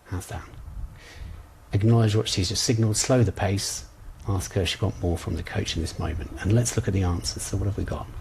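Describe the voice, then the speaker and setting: A man's voice: deep voice, steady tone, fairly quick, creaky, darkish tone, slight background noise, rough recording. A man working as a coach trainer, leading an informal online lesson in a conversational way, often hesitating as he thinks aloud. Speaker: coach trainer leading exam preparation session.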